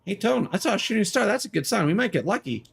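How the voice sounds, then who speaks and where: A man's voice: sits high, very animated, quick pace, creaky, breathy edge, voice pushed up, heavily acted. A man voicing an earnest, hopeful character from a video game as he reads the dialogue aloud.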